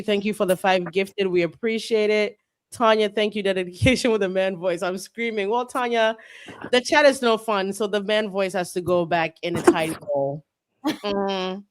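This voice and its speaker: Woman, livestream host, playful teasing banter, mid pitch. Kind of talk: live reaction stream, reading a deposition aloud.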